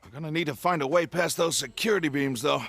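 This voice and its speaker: An actor playing an excitable, determined older scientist in a video game, with an emphatic, articulate delivery. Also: male voice, on the high side, fast, creaky. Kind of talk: video game cutscene acting.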